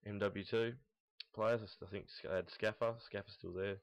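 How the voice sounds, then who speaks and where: Young man, low-pitched monotone, rambling and blunt, casual unscripted gaming commentary, muffled lo-fi recording. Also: a little fast. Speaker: host of a gaming team audio log. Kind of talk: casual unscripted commentary on esports team predictions. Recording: dull lo-fi, roomy echo, mouse clicks.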